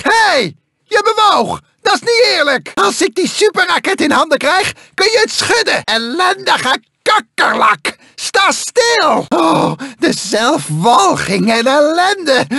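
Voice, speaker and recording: A manic cyborg mad scientist from a cartoon video game, whiny and vengeful. The voice is shrill and very high, swooping wildly from mutters to shrieks. It has a gravelly crackle and theatrical villainy. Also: male voice, pushed and bright, no echo, studio quality, exaggerated voice. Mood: whining, frustrated.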